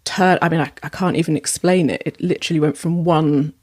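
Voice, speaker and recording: A woman's voice: low voice, fairly even intonation, brisk, no echo, clean studio sound. A woman, a sound therapist and former singer, telling her story thoughtfully in a podcast conversation with many ums and restarts.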